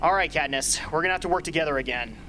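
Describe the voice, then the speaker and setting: A man's voice: fairly high pitch, very fast, bright, forceful tone, low-quality recording. Blunt middle-aged mentor, played by a man, faint background noise. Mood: blunt, challenging. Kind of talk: amateur radio drama acting. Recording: hobby recording with ambient noise.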